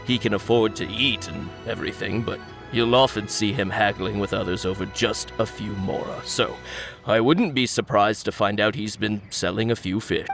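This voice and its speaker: An actor playing a nervous, defensive fish seller in a video game, gossipy and hesitant. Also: male voice, medium pitch, natural voice. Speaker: fishmonger character. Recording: background music under voice. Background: game music.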